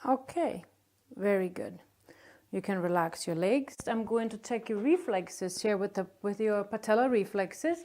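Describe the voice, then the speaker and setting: A woman's voice: medium pitch, animated, unhurried, mellow. A woman teaching a clinical exam, giving a patient polite, step-by-step directions with brief words of encouragement. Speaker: nursing instructor demonstrating an exam.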